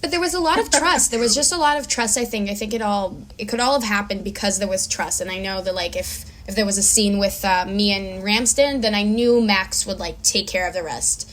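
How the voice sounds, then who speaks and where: A woman's voice: mid-range voice, fairly quick, few pauses, a bit bright, a little hiss or hum. A woman speaking as an actor and producer guest in a casual interview, earnest and informal.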